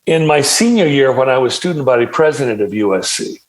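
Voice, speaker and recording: An older man from California, a film director and producer, telling his story in a measured, reflective way. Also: mid-range voice, lively intonation, low-quality recording. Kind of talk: interview storytelling.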